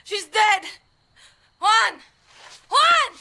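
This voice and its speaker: Fiery, sharp-voiced young guerrilla. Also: female voice, extremely high pitch, lively intonation, very slow, vocal fry, exaggerated voice. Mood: anguished. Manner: blunt, impassioned. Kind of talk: cinematic video game acting.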